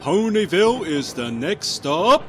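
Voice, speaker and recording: A man acts out a pony train conductor from an audio storybook. His calls are high and swooping, loud and stretched out to carry down the carriage, with faint vehicle rumble behind. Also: sing-song, full character voice. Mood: calling out. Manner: loud, drawn-out announcing calls.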